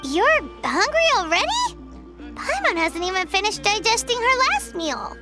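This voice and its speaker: A woman voicing a tiny, childlike, cheerful and excitable floating fairy companion in a video game, full of exclamations. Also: very high-pitched, moderate pace. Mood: surprised. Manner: speaks of herself in third person, exclamatory. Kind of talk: animated video game voice acting. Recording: loud music behind the voice.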